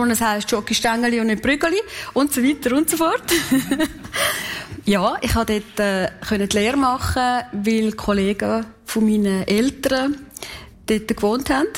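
A middle-aged woman from Kaltbrunn speaking Swiss German dialect, a municipal president chatting relaxed in an interview. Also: on the high side. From Kaltbrunn, canton St. Gallen, Switzerland. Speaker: outgoing municipal president, guest. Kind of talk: casual interview talk.

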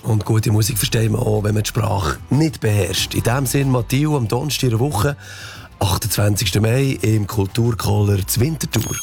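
Creaky-voiced Swiss radio host. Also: male voice, lowish voice, normal speed. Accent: Swiss German. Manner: playful, punning delivery. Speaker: radio host presenting event tips. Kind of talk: scripted radio presentation.

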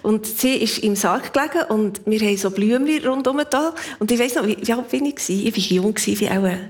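Swiss German woman in her fifties, relaxed storyteller with frequent laughs. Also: on the high side, fast talker. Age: 50s. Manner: laughs often, reflective storytelling. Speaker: guest. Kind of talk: casual conversation.

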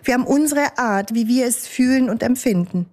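A woman's voice: a little high, normal speed, a little mellow. A woman speaking in an interview as an interpreter, in everyday conversational phrasing. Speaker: sign language interpreter. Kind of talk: interview answers and spoken interpreting.

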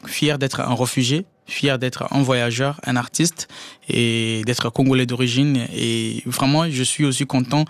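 A man from the Republic, a film director answering interview questions earnestly, with halting restarts. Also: mid-range voice, fairly even intonation, dry recording. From Central African Republic.

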